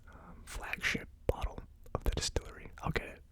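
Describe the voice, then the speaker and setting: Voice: normal speed, sharp tone. A person giving a casual ASMR tasting review as host, speaking informally and a little tentatively. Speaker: host of a whiskey tasting series.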